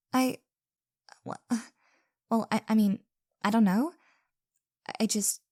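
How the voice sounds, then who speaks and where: A woman's voice: fairly high pitch, mellow, dry recording, studio quality. A woman acting a caring, flustered young woman with a crush in an intimate roleplay audio drama, speaking hesitantly and earnestly with stammers.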